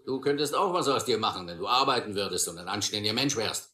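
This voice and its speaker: A gruff householder in a radio play, preaching and scolding with self-righteous force. His voice is very sharp and tense, with a roomy echo around it. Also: fairly low pitch, lively intonation, fast. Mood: scolding, preachy. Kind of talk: German radio play acting.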